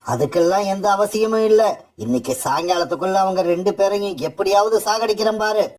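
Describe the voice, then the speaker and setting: A man's voice: high voice, fairly quick, darkish tone, natural voice. A man playing a cunning, murderous father bird in a children's cartoon story, with a sly, boastful manner. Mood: vengeful.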